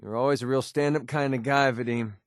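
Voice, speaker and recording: An actor playing a wry, world-weary young mercenary in a video game, with an American accent and a casual, sarcastic way of talking. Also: male voice, mid-range voice, fairly even intonation, brisk, no room sound, studio quality, lightly acted. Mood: friendly, upbeat. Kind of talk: video game character voice lines.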